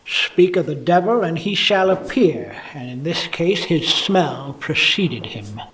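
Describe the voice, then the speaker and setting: A man's voice: fairly high pitch, lively intonation, slow pace, a bit bright, distant mic, a little hiss or hum, poor audio. Hard-boiled male detective narrator acted by a man, cynical and wry, noir radio play, slightly off-mic. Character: cynical, world-weary 1950s police detective.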